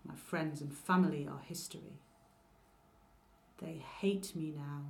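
A woman's voice: low-pitched, steady tone, unhurried, vocal fry, a little mellow, pitched below the performer's own voice. A woman from Lancashire, speaking with a Lancashire accent, voicing a bitter, vengeful wife of myth who was betrayed by her husband, with sarcastic, declaiming lines. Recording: roomy echo.

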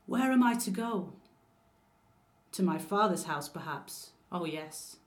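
A woman's voice: lowish voice, expressive, slow. Woman playing a bitter, vengeful betrayed wife. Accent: Lancashire. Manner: bitter, sarcastic, declamatory. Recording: roomy echo.

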